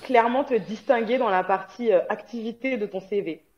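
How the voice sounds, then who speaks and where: A woman's voice: on the high side, a little fast, darkish tone. A woman from Guadeloupe, an interview guest, talking casually with many hesitations and bursts of laughter. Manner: chatty, frequent hesitations and laughter. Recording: dull lo-fi sound.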